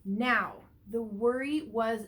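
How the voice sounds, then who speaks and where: A woman teacher reads a picture book aloud to young children, stretching words for emphasis and pausing to ask them questions. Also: a little high, fairly bright tone. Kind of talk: read-aloud storytelling with asides and questions to young listeners.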